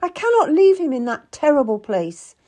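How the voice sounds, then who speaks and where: Woman acting a high-pitched, pleading Tudor servant woman. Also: big pitch swings, normal speed, mellow, husky, exaggerated voice. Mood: tearful, desperate. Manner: urgent, beseeching. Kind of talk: dramatised audiobook character.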